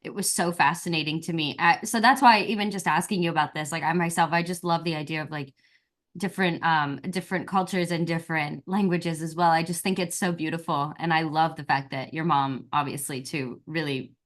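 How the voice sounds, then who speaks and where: A woman's voice: low voice, fairly even intonation, brisk. A woman from Virginia hosting an interview, effusive and gushing, full of "like" and "you know". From Virginia, USA. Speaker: interview host. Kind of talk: casual interview chat.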